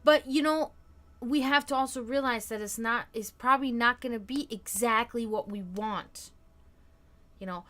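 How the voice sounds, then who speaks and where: A woman in her thirties hosting a casual live stream, talking frankly and reflectively and often letting her sentences trail off quietly. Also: a little high, normal speed. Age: 30s. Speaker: stream host.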